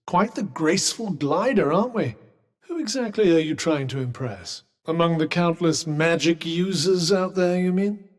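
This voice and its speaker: A man's voice: on the high side, animated, normal speed, in a large hall, close to an everyday voice. A man voicing Cuff, a wry talking bracelet. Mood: dry teasing. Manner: dry teasing, arch formal phrasing. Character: sardonic male talking magic bracelet, wry and condescending. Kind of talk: video game voice lines.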